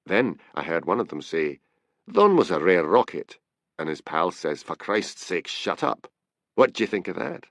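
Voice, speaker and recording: A man voicing a shifty, eager small-time informant with a Scottish accent and an excited, matey, colloquial manner. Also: normal speed, no room sound, clean studio sound, exaggerated voice. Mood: excited, conspiratorial. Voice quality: deep.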